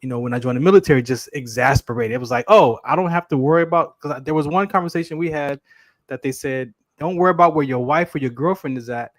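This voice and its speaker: A man's voice: fairly high pitch, fast, dry recording. Earnest host man, dry close sound. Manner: earnest, repeats words, says "right?" often. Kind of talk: casual interview talk.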